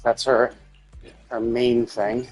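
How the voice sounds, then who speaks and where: Husky man rambling on a game stream. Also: medium pitch, level tone, takes it slowly, rough recording. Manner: rambling, thinking aloud. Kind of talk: casual livestream gameplay chat. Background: music.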